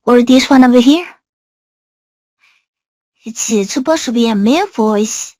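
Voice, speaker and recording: A man's voice: extremely high pitch, a little slow, darkish tone, dry recording, pitched above the performer's own voice. A man speaking through an AI voice changer as a girlish young voice. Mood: critical. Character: voice-changer male voice that comes out girlish.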